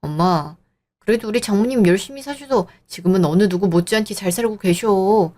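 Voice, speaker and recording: A woman voicing a young man, a fiancé who soothes and smooths things over in an audio drama. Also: mid-range voice, brisk, dark tone, lightly acted. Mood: placating. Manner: soothing, placating. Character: young adult man, gentle peacemaking fiancé.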